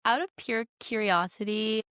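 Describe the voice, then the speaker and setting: Smooth-voiced woman in casual chat. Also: fairly high pitch, normal speed, a bit bright, no room sound, clean studio sound. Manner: informal, blunt, slangy. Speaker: podcast co-host. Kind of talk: casual podcast chat about a film.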